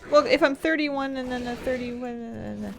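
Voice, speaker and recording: A woman in her thirties chatting casually while she plays a game, rambling and halting with restarts and soft laughs. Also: high-pitched, gravelly, faint music behind. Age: 30s. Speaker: streamer. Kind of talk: casual chat while gaming.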